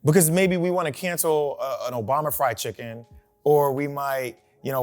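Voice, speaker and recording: A man hosting a talk show, posing questions to the panel in an animated way and often checking in with "right?". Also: medium pitch. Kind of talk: moderating a discussion.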